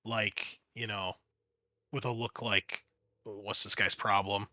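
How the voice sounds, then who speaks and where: A man acts as game master with a sharp, bright voice. He moves between narrating scenes and explaining rules, keeping it conversational and explanatory. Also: fairly low pitch, little pitch movement, slow, no room sound. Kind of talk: narrating and refereeing a tabletop game.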